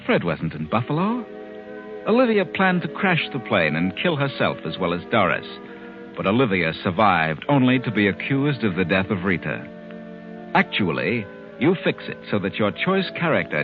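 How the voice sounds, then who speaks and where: An actor playing a sinister, all-knowing storyteller who narrates an old radio mystery in an ominous, sardonic manner. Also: male voice, fairly low pitch, phone-quality sound. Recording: old 1940s radio recording with music.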